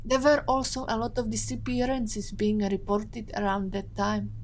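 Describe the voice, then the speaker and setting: A woman playing a composed, guarded captive agent in an audio drama, with measured and formal phrasing. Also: fairly high pitch, flat delivery, slight background noise, natural voice. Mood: grave, explaining.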